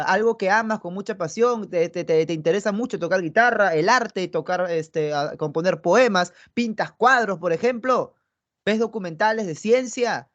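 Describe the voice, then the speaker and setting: A young man with a high voice lectures on philosophy over a video call. He talks chattily and keeps checking in with his students. The computer-mic audio is dull and muffled. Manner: chatty, tags phrases with "¿no?" and "¿ya?"; talks directly to students, affectionate asides. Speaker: philosophy teacher. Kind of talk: online pre-university lecture.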